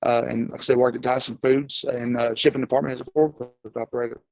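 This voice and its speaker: Flat-voiced man in his thirties, halting. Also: medium pitch, gravelly, dry recording, poor audio. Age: 30s. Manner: halting, many fillers, earnest. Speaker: guest. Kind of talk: casual chat. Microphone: video call setup.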